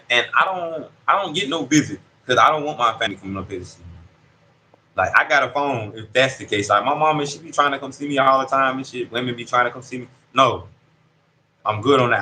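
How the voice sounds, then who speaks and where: Man in his thirties, bright voice. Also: medium pitch, slight background noise, poor audio. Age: 30s. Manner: street slang, keeps asking "you see what I'm saying". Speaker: incarcerated interviewee. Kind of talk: casual conversational storytelling. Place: prison dorm.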